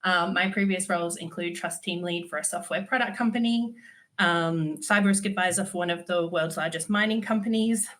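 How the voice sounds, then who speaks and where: A woman's voice: on the low side, a little fast, fairly bright tone. A woman, giving a conference talk while stuffy from a cold, candid with dry humour and frequent ums. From Australia. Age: 30s. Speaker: conference speaker.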